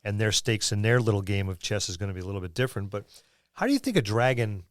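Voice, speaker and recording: A man co-hosting a podcast, chatting casually and haltingly about tabletop gaming, thinking aloud as he goes. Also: lowish voice, animated, dry recording, studio quality. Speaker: podcast co-host.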